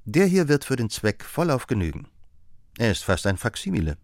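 A man voicing a sharp-witted detective who lays out his reasoning in a brisk, assured way. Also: medium pitch, very animated, no echo, clean studio sound. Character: sharp-witted male detective, confident and explaining.

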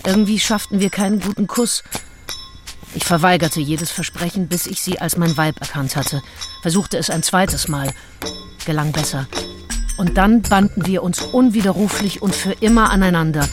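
An actress playing a candid, passionate, willful Englishwoman confiding her diary in an intimate radio play, with deliberate phrasing. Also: on the low side, lightly acted. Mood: solemn, satisfied. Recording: studio radio play with music behind.

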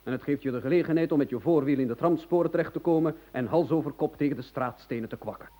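An actor playing an excitable, gloomy musician in an absurd comic radio play, complaining theatrically and at wordy length. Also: male voice, fairly high pitch, fast talker, background noise, close to an everyday voice. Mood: agitated ranting. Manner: theatrical, verbose, plaintive complaining.

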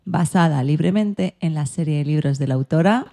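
A woman's voice: low voice, normal speed, creaky, warm tone. A woman with an accent from Spain presenting a podcast in a scripted style as its host.

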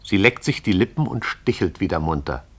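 A man narrating a radio drama in a measured, lyrical style. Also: low voice, lively intonation, slight background noise.

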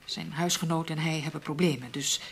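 A woman's voice: low voice, a little fast, a little hiss or hum. A woman with a Netherlands Dutch accent playing an anxious, protective newspaper colleague in a radio play, hesitant and pleading. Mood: worried, pleading. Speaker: radio drama actor.

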